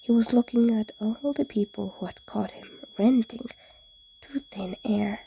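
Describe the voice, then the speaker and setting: A woman's voice: fairly high pitch, measured pace, gravelly, dark tone, a little hiss or hum, low-quality recording. A woman reading fan fiction aloud in an audiobook-style narration.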